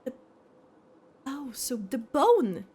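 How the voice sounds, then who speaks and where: A woman game streamer giving casual reaction commentary, halting as she thinks aloud. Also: high voice, very animated, mellow, husky. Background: game music.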